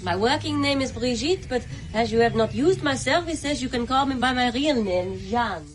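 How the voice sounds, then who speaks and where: A worldly Parisian call girl in a radio drama, acted by a woman. Her voice is high and very lively, arch and teasing, with clear noise behind it. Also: moderate pace, lightly acted.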